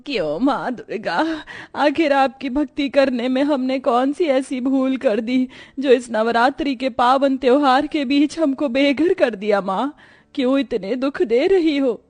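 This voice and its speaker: A woman voicing a fretful, devout elderly mother-in-law from a poor village in an animated moral story. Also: high voice, measured pace, voice pushed up. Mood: grieving, reproachful.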